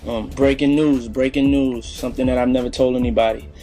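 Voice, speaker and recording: A man's voice: mid-range voice, fairly even intonation, fairly quick, mellow, soft background music, rough recording. A man talking informally and confidingly, hesitating often as he goes. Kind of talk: informal confessional talk.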